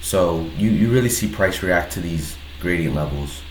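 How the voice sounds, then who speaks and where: A man with a head cold and a slightly nasal voice talks through his own trade in a casual, hesitant way, with many pauses and restarts. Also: sits low, animated. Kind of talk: casual screen-recorded trade breakdown. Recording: roomy echo with faint background noise.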